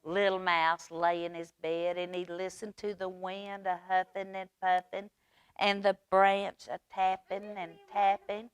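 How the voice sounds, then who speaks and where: A woman reading aloud for children's storytime in an expressive, drawn-out manner. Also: medium pitch, clear, resonant tone, no echo.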